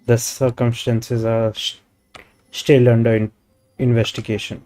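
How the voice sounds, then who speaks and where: A man's voice: mid-range voice, level tone, unhurried, dark tone, soft background music. A man from India with an Indian accent, giving casual livestream game commentary and switching between languages. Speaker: game streamer. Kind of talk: casual livestream commentary mixing Hindi and English.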